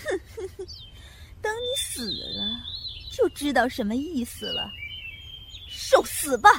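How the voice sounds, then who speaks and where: A woman's voice: high voice, huge pitch swings, a little slow, very noisy, heavily acted. A woman playing a haughty, scheming, jealous villainess in a Chinese costume audio drama, with theatrical delivery. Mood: taunting, vicious.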